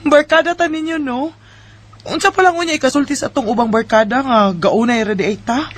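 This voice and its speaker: A woman's voice: sits high, fairly quick. A woman with a Filipino Bisaya accent playing a shy, hesitant young woman in an amateur radio drama. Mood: hesitant, protesting. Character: Mika, shy, hesitant young woman guarding her feelings. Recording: noisy homemade radio drama recording.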